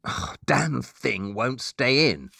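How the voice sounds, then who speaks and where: A man's voice: mid-range voice, animated, slow, gravelly, bright, forceful tone, exaggerated voice. A man playing a brilliant, eccentric detective in an audio drama, dry, matter-of-fact and condescending. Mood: impatient, condescending.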